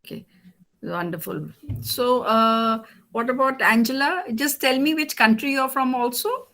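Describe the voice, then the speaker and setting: Bright-voiced woman teaching an online class. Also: a little high, a little slow. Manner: encouraging, calls on students by name. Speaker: professor leading an online class. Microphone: video call.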